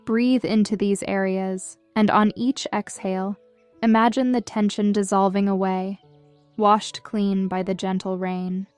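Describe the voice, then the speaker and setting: A woman leads a scripted guided meditation with a smooth, clear voice at a medium pitch. Her delivery is measured and soothing, giving gentle instructions. The sound is dry and close, with music playing behind her. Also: level tone, no room sound. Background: background music.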